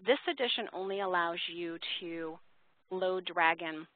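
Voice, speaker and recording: Over a narrow phone-like line with no room sound, a woman presents a webinar. Her voice sits fairly low. She explains things informally, answering questions and thinking aloud as she goes. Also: measured pace, no room sound. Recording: tinny, dry phone-line audio.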